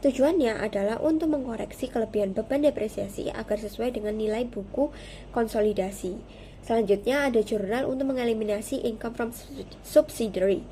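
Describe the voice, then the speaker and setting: A woman's voice: fairly high pitch, brisk, mellow, slight background noise, poor audio. A young woman giving a prepared class presentation as a student, explaining step by step in a formal, methodical way. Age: young adult.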